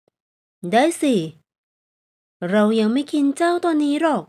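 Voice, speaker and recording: Storybook lion, male, cunning and calmly menacing, very slow delivery, higher pitched performed by a female narrator. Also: full character voice. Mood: calm menace. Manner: unhurried, smugly calm. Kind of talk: bedtime storybook character voice.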